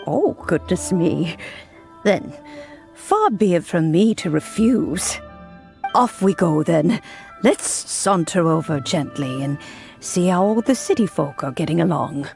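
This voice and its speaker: Wise elderly lady, lowish pitch, slow unhurried delivery, gentle, grandmotherly, wistful, video game mentor. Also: lively intonation, vocal fry, soft background music, heavily acted. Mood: pleasantly surprised. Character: gentle, wise, kindly old woman mentor.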